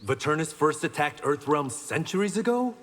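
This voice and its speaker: A resolute swordsman hero from a fighting game. His voice is bright and forceful with lively intonation, dry and confident, in a long reverb. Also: fairly high pitch, normal speed. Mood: wry, confident. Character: Kenshi, resolute, wry blind swordsman. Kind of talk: fighting game character banter. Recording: long reverb, faint background noise.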